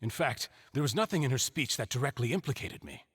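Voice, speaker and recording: A suave male game character, polite and smug as the accused in a trial. His husky voice moves up and down freely and rings in a long hall reverb. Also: medium pitch, animated, fast. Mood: smug calm. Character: suave, composed accused gentleman. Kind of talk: video game courtroom drama acting.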